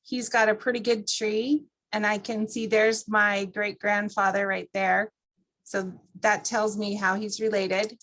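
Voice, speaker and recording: A woman leads an informal walkthrough of a computer program. She speaks at an average pitch in a conversational, explanatory way. She takes her listeners through it step by step, as a class presenter does. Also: flat delivery, measured pace, fairly bright tone, rough recording.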